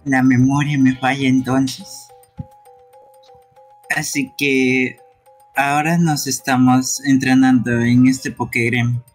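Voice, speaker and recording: Man voicing a timid husky little creature. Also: medium pitch, little pitch movement, takes it slowly, long pauses, soft background music. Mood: hesitant, earnest. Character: timid, earnest young male small furry creature. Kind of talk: amateur live game dubbing.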